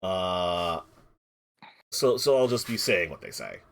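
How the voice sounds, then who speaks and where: A man narrating a tabletop roleplaying game as game master, halting and repeating words as he improvises. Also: low voice, very animated, creaky, fairly bright tone. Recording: music playing behind the voice. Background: music.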